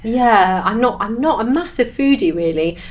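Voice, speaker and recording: Woman, fairly high voice, casual podcast guest, self-deprecating, conversational. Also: lively intonation, moderate pace, lo-fi sound. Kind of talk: casual chat.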